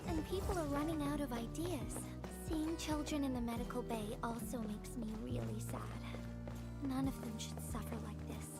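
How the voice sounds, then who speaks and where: Woman acting young female courier, video game, high voice, kind, soft-hearted, music behind. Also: normal speed, lightly acted. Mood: sad, compassionate. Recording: game dialogue over music.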